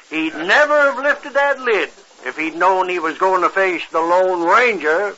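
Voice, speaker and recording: Folksy hearty western sheriff, really piercing bright high voice, old radio drama. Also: male voice, animated, a little slow, heavily acted. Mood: pleased. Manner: folksy, emphatic. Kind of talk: 1950s radio western acting. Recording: dull 1950s radio broadcast recording.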